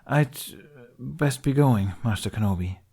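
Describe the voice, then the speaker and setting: A man's voice: medium pitch, lively intonation, darkish tone, slightly rough. A man doing an awkward, hesitant young apprentice. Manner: hesitant, halting, stammering.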